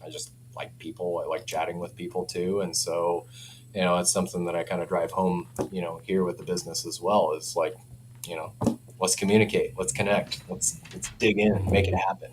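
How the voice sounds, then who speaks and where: A man's voice: low-pitched, little pitch movement, fairly quick, a little hiss or hum, low-quality recording. A man chatting casually and enthusiastically about products as a company representative, in informal language. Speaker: audio company representative, guest.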